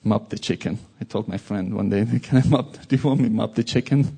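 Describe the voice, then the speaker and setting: A man's voice: lowish voice, brisk, creaky, warm tone, slightly rough. A man from Iran with a Persian accent, giving an informal sermon with jokey asides. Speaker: preacher.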